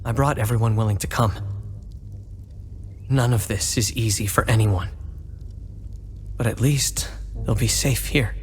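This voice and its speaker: Guy as an earnest thankful refugee leader in a game, lowish voice, long echo, faint music. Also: a little slow, long pauses, a little hiss or hum, natural voice. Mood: grateful, sober. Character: earnest, grateful man leading civilians to safety. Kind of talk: video game cutscene acting.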